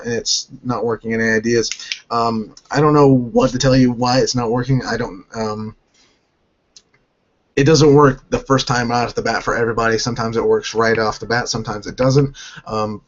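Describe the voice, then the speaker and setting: Hoarse guy from north carolina doing a q&a, keeps restarting sentences, muffled cheap mic. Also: mid-range voice. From North Carolina, United States. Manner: halting, restarts sentences often. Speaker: gaming channel host. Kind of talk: casual Q&A answering viewer questions.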